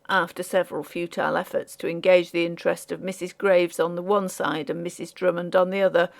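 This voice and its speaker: A woman narrating an audiobook story in a measured, formal storytelling manner. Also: medium pitch, vocal fry, a little mellow.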